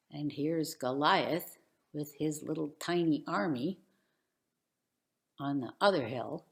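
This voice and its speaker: A woman telling a children's bedtime story in an expressive, theatrical and deliberate way. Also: low-pitched, vocal fry, echoey room. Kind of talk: dramatic bedtime story narration.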